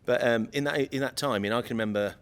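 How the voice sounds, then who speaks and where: A man in his late forties with a slightly croaky voice, chatting as a guest on a podcast and often repeating words while he searches for them. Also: medium pitch, fast, a little hiss or hum. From United Kingdom. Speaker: guest from an electrical equipment maker. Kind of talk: casual podcast chat.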